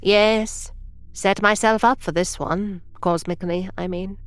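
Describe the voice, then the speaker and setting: A woman's voice: mid-range voice, steady tone, a little slow, clear, resonant tone, dry recording, lightly acted. A woman playing a prim, rule-following time traveler near retirement who gets irritated easily, in an audio drama. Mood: awkward. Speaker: senior time travel agent.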